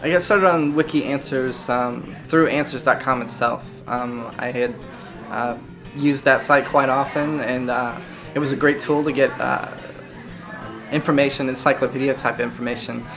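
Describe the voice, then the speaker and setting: A man's voice: medium pitch, fairly even intonation, normal speed, music in the background. A man from Chicago answering interview questions, hesitant with many ums and uhs. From Chicago, Illinois. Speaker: site volunteer.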